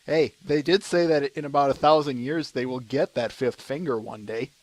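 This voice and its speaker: A man's voice: mid-range voice, moderate pace. A man hosting a casual podcast, announcing the week's schedule in an easygoing way with frequent fillers. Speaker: podcast host.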